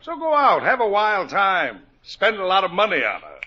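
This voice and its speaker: A man's voice: high-pitched, huge pitch swings, moderate pace. An actor playing a jovial older man who gives fatherly advice with a folksy, chuckling manner, in 1940s radio acting. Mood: genial. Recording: dull lo-fi 1940s radio broadcast.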